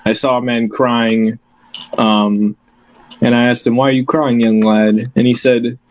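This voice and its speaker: A young man chatting casually with friends, quick and jokey, telling tall tales with a straight face. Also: mid-range voice, flat delivery. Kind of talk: casual chat among friends. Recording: muffled lo-fi mic with faint hiss.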